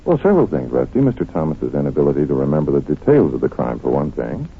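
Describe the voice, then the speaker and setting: An actor playing a confident, analytical detective in an old radio drama, explaining things with teasing, affectionate asides. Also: male voice, very deep voice, wildly swooping pitch, fast, a little hiss or hum, exaggerated voice. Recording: muffled vintage radio recording.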